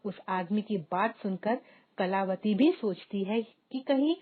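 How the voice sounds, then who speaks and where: A woman's voice: mid-range voice, lively intonation, takes it slowly, slightly rough. A woman storyteller reading a scripted moral tale as narration. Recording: dull lo-fi audio, music behind.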